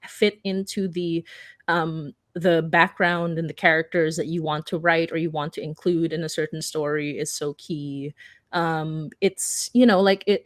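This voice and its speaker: A woman speaking unscripted as a writer on a discussion panel, earnest and halting as she rephrases her points. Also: sits low, dry recording, studio quality. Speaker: panelist, audio drama writer.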